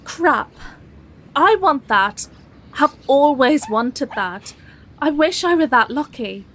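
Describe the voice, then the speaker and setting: An actress with a British accent playing a caring, frank middle-aged single daughter in an intimate audio drama. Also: female voice, high-pitched, expressive, takes it slowly, a bit bright, exaggerated voice. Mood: wistful, rueful.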